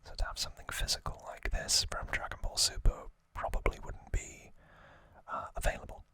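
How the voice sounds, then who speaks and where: A man hosting an ASMR video, whispering throughout as he explains things off the cuff, with thoughtful, hesitant pauses. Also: pushed and bright, no room sound. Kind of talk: whispered ASMR, unscripted explanatory unboxing talk.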